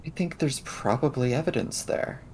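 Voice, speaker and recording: A man playing a tabletop role-playing game, thinking things through aloud in casual, halting phrases. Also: medium pitch, darkish tone, a little hiss or hum. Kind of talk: casual in-character game discussion.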